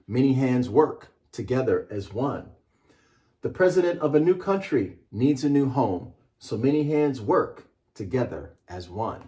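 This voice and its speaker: A middle-aged man, a children's author, presenting and reading a picture book aloud with an emphatic, rhythmic delivery. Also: medium pitch.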